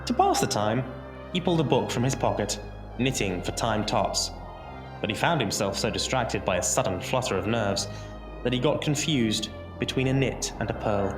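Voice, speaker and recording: A man narrating an audio drama as a scripted story, theatrical and deliberate, with dramatic pauses. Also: medium pitch, lively intonation. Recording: roomy echo under music. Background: music.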